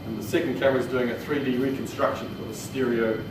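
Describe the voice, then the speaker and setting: A man's voice: medium pitch, steady tone, fast. A man with a New Zealand accent giving an informal technical presentation in a plain-spoken, hesitant way. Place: indoor training room. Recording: echoey room, distant mic, noisy.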